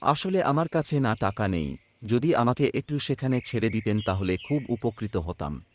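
A man's voice: medium pitch, big pitch swings, moderate pace, darkish tone, slight background noise, lightly acted. A man playing a poor, worried young village son, narrating his hardship in a scripted cartoon story. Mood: anxious, weary. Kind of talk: first-person scripted horror cartoon narration.

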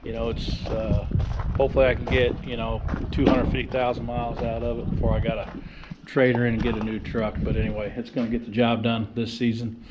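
A man's voice: mid-range voice, level tone, a little fast, muffled recording. A man, chatting casually and plainly for a fishing vlog, in a folksy way. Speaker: amateur tournament angler and vlogger. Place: outdoors on a gravel lot, then inside a large garage. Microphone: action camera at arm's length. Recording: noisy handheld camera audio, ambient noise.

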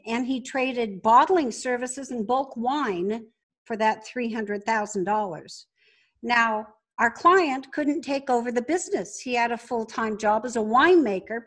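High-voiced woman telling stories. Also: fairly bright tone. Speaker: podcast guest, entrepreneur. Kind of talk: conversational storytelling interview.

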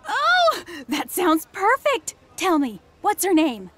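A woman's voice: very high voice, huge pitch swings, exaggerated voice. A woman playing an eager, bubbly young jiu-jitsu fighter in a video game, bouncy and enthusiastic in her delivery. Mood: friendly, excited. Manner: bouncy, enthusiastic, drawn-out exclamations. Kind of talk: video game cutscene acting. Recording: loud music behind.

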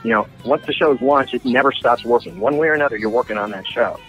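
A man's voice: medium pitch, fast, fairly bright tone, soft background music, rough recording. A man explaining things emphatically and conversationally as an interviewed writer-producer.

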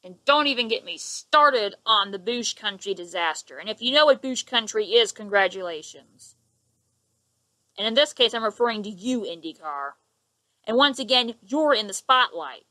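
A woman's voice: mid-range voice, animated, moderate pace, bright, forceful tone, poor audio. Fired-up young woman ranting at her webcam. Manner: indignant, sarcastic, gestures a lot. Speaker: vlogger. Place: living room, indoors. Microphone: webcam at close range.

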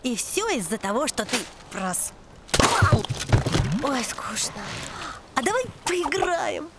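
High, swooping, mocking villainess. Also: female voice, huge pitch swings, takes it slowly, very noisy, full character voice. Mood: bored, teasing. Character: bossy, teasing female villain sidekick, theatrical and mocking. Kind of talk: animated film voice acting.

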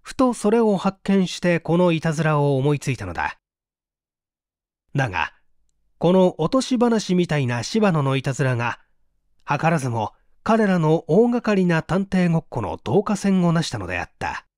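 A man's voice: on the high side, big pitch swings, normal speed, a little mellow, no room sound, studio quality. A man narrating a literary story as a scripted, dramatic audiobook reading.

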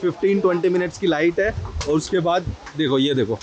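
An Indian man in his thirties talks in a casual vlog style with a slightly high-pitched voice. He is informal and tends to repeat points for emphasis. Clear vehicle and outdoor noise surrounds him as he records on a camera. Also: moderate pace. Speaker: travel vlogger. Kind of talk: casual vlog chat mixing Hindi and English. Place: inside a car and on a rainy roadside. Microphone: camera at arm's length or on dashboard. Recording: noisy vehicle and outdoor ambience.

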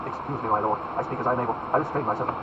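A man's voice: medium pitch, fairly even intonation, rapid-fire, lightly acted. A man voicing a fervent young naval officer in a classic novel reading, abrupt, reproachful and impassioned. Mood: pleading, warning. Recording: very noisy, slightly dull recording.

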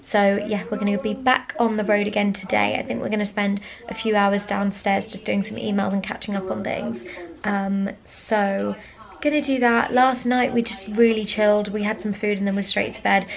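A woman's voice: medium pitch, steady tone, normal speed, creaky, a crowd in the background. A young adult woman, chatting casually and informally to camera as a vlogger. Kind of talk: casual vlog chat to camera.

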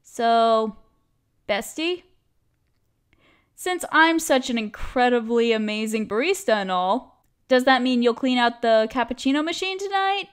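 A woman playing a cute, chatty young barista in an audio roleplay, expressive and girlish, playfully teasing a coworker friend. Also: on the high side, measured pace, clean tone. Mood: fond, teasing. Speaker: voice actress. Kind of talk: scripted one-sided audio roleplay, casual chat.

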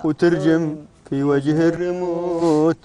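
Gulf man, playful verse reciter, slightly high voice, group chatter behind him. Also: steady tone, a little slow. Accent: Gulf Arabic. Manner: playful, recites poem lines. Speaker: reality show contestant. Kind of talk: casual chat reciting verse.

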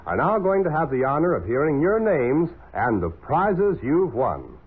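A man's voice: fairly high pitch, big pitch swings, unhurried, heavy background noise. A man announcing prizes on a 1940s children's radio show. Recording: muffled, tinny 1940s radio recording.

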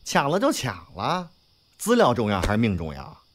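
A man's voice: medium pitch, wildly swooping pitch, normal speed. A man playing a brash, cocky but loyal young gangster in an audio drama, talking with slangy, sweary swagger. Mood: cocky, griping. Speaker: voice actor in a fan audio drama. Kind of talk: Chinese audio drama acting.

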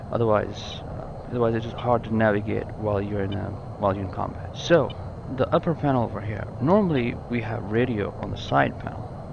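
A man's voice: medium pitch, creaky, muffled recording, background noise. A man giving a casual, explanatory walkthrough of a flight simulator cockpit, informally repeating and rephrasing as he goes. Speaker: gaming tutorial presenter.

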